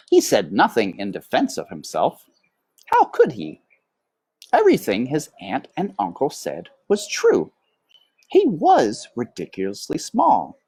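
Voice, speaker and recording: A woman reading a children's book aloud as storyteller, with dramatic, deliberate phrasing. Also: extremely low pitch, creaky, warm tone, slightly rough, no room sound.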